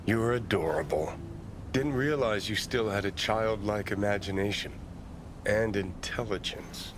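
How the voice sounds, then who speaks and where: An actor playing a cynical, mocking sidekick in a video game, sardonic and theatrical with a dry wit. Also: male voice, lowish voice, unhurried, noisy background, lightly acted. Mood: teasing.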